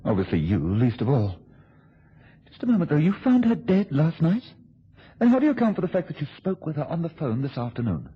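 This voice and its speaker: An actor playing a tense, cornered murder suspect in a radio thriller, speaking in a clipped and formal way. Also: male voice, on the high side, wildly swooping pitch, brisk, husky, exaggerated voice. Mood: guarded, challenging. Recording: noisy, dull old radio recording.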